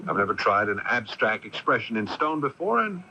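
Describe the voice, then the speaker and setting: An actor playing an earnest, concerned sculptor in a radio mystery drama, reasoning hesitantly with a slight stammer. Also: male voice, fairly low pitch, very animated, a little fast. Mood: coaxing, hesitant. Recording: muffled, noisy old AM radio broadcast.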